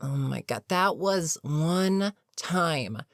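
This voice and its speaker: Creaky, slow-talking weary villain played by a voice actor. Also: medium pitch, expressive, no echo, studio quality. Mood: exasperated, wry. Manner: sighing, deliberate, wry. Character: older, experienced villain, weary, dryly sarcastic, reluctantly protective. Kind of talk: intimate audio roleplay monologue.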